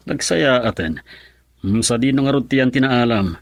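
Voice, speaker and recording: Casting: actor as rural Ilocano husband and father, mid-pitched, dry studio radio drama sound. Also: unhurried, no echo, lightly acted. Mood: pleased, curious. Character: husband and father, plain rural family man.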